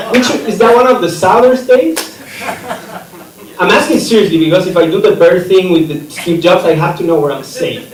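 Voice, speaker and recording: A man's voice: on the high side, slight background noise. Man doing stand-up, echoey room. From Chile. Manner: rambling, self-interrupting delivery. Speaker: student stand-up comedian. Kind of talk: stand-up comedy set. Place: comedy theater stage. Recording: echoey room from a distance, audience laughter.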